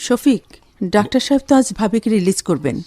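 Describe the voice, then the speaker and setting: A woman's voice: mid-range voice, very animated, fast talker, warm tone, soft background music, natural voice. An actress playing a caring, politely concerned younger female relative in a Bengali radio drama. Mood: caring, expectant.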